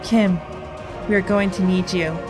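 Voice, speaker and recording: Woman playing a steady, resolute teenage heroine. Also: medium pitch, a little slow, music in the background, close to an everyday voice. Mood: regretful, resolute. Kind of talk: audio drama acting.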